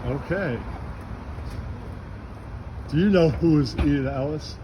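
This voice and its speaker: A man's voice: fairly high pitch, takes it slowly, dark tone, lo-fi sound. Man doing blunt street interviews outdoors. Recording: noisy outdoor street with traffic.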